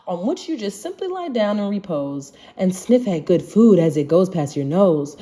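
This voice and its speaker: A woman reading a children's rhyming picture book aloud in an expressive, singsong way. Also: mid-range voice. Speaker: storybook reader.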